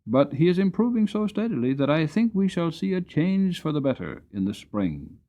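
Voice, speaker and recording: A man voicing a kindly old grandfather, a gentleman farmer, in a dramatic storybook reading with a deliberate, encouraging, courteous manner. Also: a little high, big pitch swings, voice pushed up. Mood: hopeful. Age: older.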